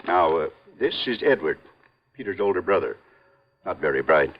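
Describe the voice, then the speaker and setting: An actor playing a courteous old family lawyer, mild and hesitant, in 1950s radio drama style. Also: male voice, deep voice, sing-song, normal speed. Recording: dull vintage radio recording.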